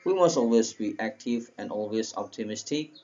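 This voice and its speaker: A man with an Indonesian accent delivers a formal prepared speech in a deliberate, halting, oratorical way. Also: fairly low pitch, lively intonation, darkish tone, dull audio, a little hiss or hum. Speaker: speech presenter.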